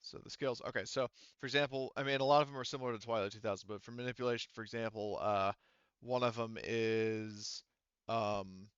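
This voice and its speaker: A man running a tabletop roleplaying game as game master, narrating and refereeing in a halting way with frequent restarts. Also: medium pitch, steady tone, a bit bright, smooth, no room sound, dull audio. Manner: halting, hesitant, often trailing off and restarting.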